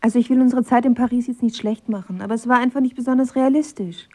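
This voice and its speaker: A woman plays a young girlfriend in an audio drama with a fairly high voice. She is guarded and independent, and speaks frankly in casual everyday words. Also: steady tone, fast talker, mellow, lightly acted. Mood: firm, defensive.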